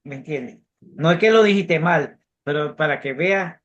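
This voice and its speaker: A man's voice: fairly high pitch, measured pace, fairly bright tone, lo-fi sound. Bilingual man teaching a Bible class. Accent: English-speaking, Cuban-learned Spanish. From United States. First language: English. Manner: earnest, repeats words when searching. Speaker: theology teacher. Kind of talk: interactive online Bible class.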